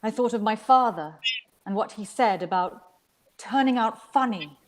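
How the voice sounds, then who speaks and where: A woman from Sri Lanka reading literary fiction aloud as an actor, with measured, expressive storytelling. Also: a little high. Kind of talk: dramatic literary narration.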